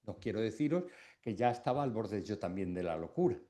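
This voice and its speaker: A middle-aged man from Extremadura giving a formal academic induction speech, reflective, with pauses between phrases. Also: on the low side, expressive, warm tone. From Extremadura, Spain.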